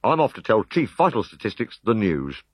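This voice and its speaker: A man voicing a brisk, level-headed Gaulish warrior. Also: on the low side, big pitch swings, husky, dry recording.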